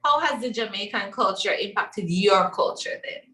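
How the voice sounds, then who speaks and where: A woman's voice: mid-range voice, expressive, moderate pace, bright, forceful tone, reverberant, distant mic. A woman from Belize moderating a panel discussion in a chatty manner with many fillers.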